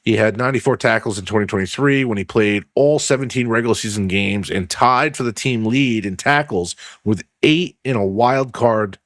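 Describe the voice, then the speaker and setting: A man hosting a casual sports podcast, rambling and swearing as he reads news aloud with his own asides, his voice going hoarse. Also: lowish voice, moderate pace, few pauses, a bit bright, dry recording, clean studio sound. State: losing his voice. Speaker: podcast host.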